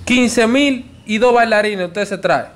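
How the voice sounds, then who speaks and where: High-pitched young Dominican man, pleading. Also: slow pace. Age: 20s. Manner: pleading, insistent. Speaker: prank caller. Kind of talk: prank phone call, posing as a show promoter.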